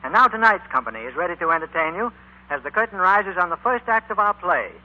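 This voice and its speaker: A man's voice: sits high, animated, fast. A man announcing and narrating a 1940s radio play in a formal scripted style from a theater stage. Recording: dull 1940s radio recording with music. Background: orchestra music.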